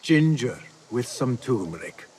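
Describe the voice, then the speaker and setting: Earnest young assassin, lively inflection. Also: male voice, medium pitch, a little slow, husky. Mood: eager. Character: earnest, courteous young male assassin from Baghdad. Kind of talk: video game cinematic acting.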